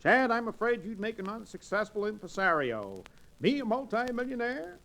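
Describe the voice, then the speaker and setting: An actor playing a pompous, grandiloquent sidekick in an old Western radio drama, speaking in florid, wordy phrases. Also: male voice, high voice, sing-song, normal speed, gravelly, fairly bright tone, exaggerated voice. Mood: mock-indignant. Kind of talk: 1940s-1950s radio drama acting.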